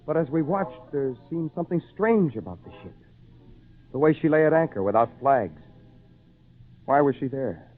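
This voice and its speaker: An earnest, dramatic castaway in an old radio adventure. His voice swoops widely and is slightly husky, through a tinny narrow recording. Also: fairly high pitch. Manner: dramatic, urgent.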